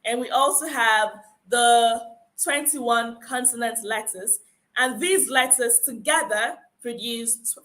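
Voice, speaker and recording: A woman's voice: fairly high pitch, fairly bright tone, rough recording. A woman with a Nigerian accent giving an encouraging phonics lecture, stressing rules and repeating key points. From Akwa Ibom, Nigeria. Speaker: phonics teacher. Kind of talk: conference lecture.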